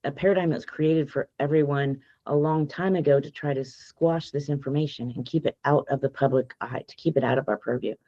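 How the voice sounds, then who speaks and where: A woman, a guest author on a podcast, hoarse from allergies and speaking in an earnest, emphatic, faith-driven way. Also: low voice, steady tone, a little fast, hardly any pauses, dark tone, dry recording, highs cut off. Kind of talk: podcast interview.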